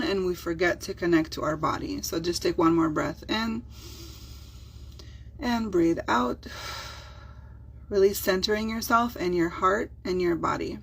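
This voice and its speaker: Israeli woman, hebrew native, healer talking soft and soothing, husky kinda raspy voice. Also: medium pitch. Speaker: spiritual healer. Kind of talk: guided self-help talk.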